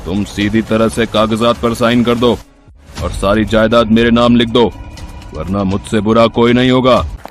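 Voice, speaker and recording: A man's voice: lowish voice, steady tone, normal speed, lightly acted. A man playing a greedy, domineering husband in a storybook tale, stern and threatening, with music behind. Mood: angry, threatening.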